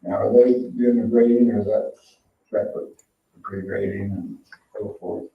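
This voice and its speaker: Gravelly man, muffled in an echoey room. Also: lowish voice, fairly even intonation, moderate pace. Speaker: board member asking questions. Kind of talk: informal questioning at a meeting. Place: indoor town board meeting room. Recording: muffled lo-fi, echoey room, distant mic, noise.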